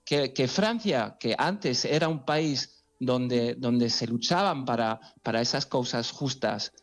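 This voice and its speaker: A man with a voice of ordinary pitch talks earnestly in an interview. He is emotional and halting, stumbling and restarting phrases as he presses his point.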